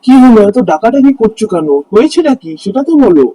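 A man plays a poor, kind-hearted village husband in a cartoon fairy tale. His voice is high, very dark and husky, with an earnest, wondering manner. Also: very animated, fast talker, full character voice. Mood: amazed.

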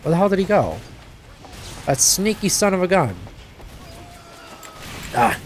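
A young man talks through his own game session, his pitch swinging wildly as he yelps and laughs at each moment. His voice sits a bit higher than most men's and sounds a little stuffed up. A faint hiss runs behind him. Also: a little slow. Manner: excitable, yelps and laughs at the action. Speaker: gaming channel host. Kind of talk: live gameplay commentary.

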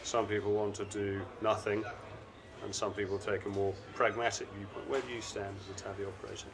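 A middle-aged man hosting a conference interview, asking brisk questions in a conversational way with an uneven pace. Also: on the low side, flat delivery, a crowd in the background. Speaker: interviewer and panel host. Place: seated at a conference booth indoors. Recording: constant conference hall ambience.

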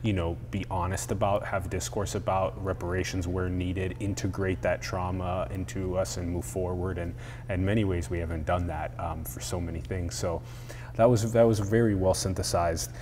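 Deep-voiced young man hosting casually. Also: flat delivery, normal speed, background noise. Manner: casual, laughing, filler-heavy. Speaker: host. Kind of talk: conversational interview. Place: indoor atrium with plants and glass.

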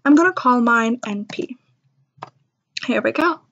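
High-voiced woman giving a software tutorial. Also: animated, a little slow, highs cut off. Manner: chatty, reassuring, step-by-step instruction. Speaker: software demonstrator. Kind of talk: informal screen-recorded tutorial narration.

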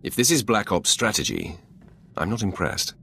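An actor playing an imperious, stern Sith lord in a video game, with a gravelly, haughty, commanding delivery. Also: male voice, on the low side, very animated, normal speed, a bit bright, heavily acted.

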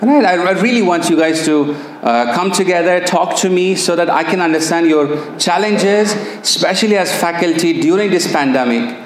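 A man in his thirties with a Pakistani accent presents a seminar, his voice sitting a little high. He talks loosely and keeps pulling the audience in with questions. The handheld mic catches plenty of room echo over a faint hum. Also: expressive, moderate pace, hardly any pauses. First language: Persian. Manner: chatty, engaging, asks audience questions. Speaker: NLP coach and trainer. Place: seminar room with projector screen. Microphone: handheld microphone.

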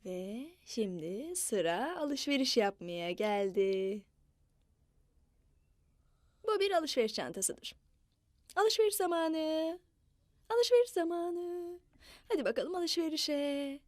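A woman's voice: high voice, sing-song, slow pace, long pauses, darkish tone, no echo, clean studio sound, exaggerated voice. A woman voicing a cheerful entertainer who coaxes children in a playful, sing-song way and moos at them. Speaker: children's show storyteller. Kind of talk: animated dubbed children's narration.

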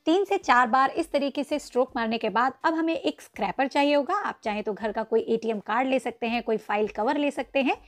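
High-voiced woman guiding a baking tutorial. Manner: patient, instructive, addresses viewers as friends. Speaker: cooking channel host. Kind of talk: step-by-step baking tutorial voice-over.

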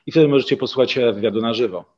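A man's voice: mid-range voice, rapid-fire, a little mellow, highs cut off. Upbeat man co-hosting from a studio. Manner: upbeat, finishes his partner's sentences. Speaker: festival co-host. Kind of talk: live-stream studio hosting. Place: studio set in an institute.

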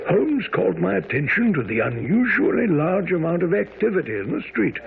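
Loyal gentleman storyteller, really expressive, tinny muffled old radio broadcast. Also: high-pitched, a little fast, vocal fry, exaggerated voice. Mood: earnest, dramatic. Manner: earnest, storytelling. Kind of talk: dramatic scripted narration in radio play.

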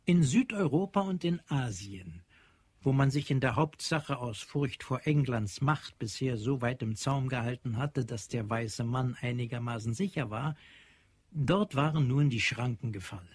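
A man narrating a radio play from a script in a measured, literary, dramatic manner. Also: medium pitch, expressive, husky, no echo. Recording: dry studio with faint music.

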